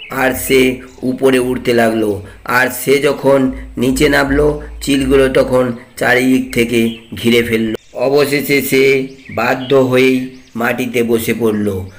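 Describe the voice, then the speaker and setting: Very slow-paced male cartoon storyteller. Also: mid-range voice. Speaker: narrator. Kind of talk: scripted storytelling narration for a cartoon fairy tale.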